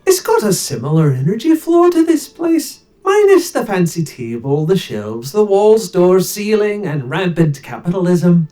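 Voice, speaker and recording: Mellow, swooping woodland adventurer. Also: female voice, on the low side, very animated, measured pace, few pauses, soft background music. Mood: airy teasing. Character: laid-back woodland-loving adventurer, drily mocking. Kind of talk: video game character acting.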